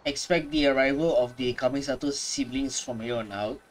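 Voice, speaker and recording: A man casually commenting while playing a story game, relaxed and chatty. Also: medium pitch, fairly quick, faint music behind, poor audio. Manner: relaxed, chatty, with small restarts. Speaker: gaming reaction host. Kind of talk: casual commentary over gameplay.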